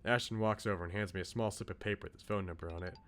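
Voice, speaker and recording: A man's voice: lowish voice, fairly even intonation, racing, a bit bright. Male streamer reading a visual novel. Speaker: game streamer. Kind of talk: reading visual novel narration with casual commentary.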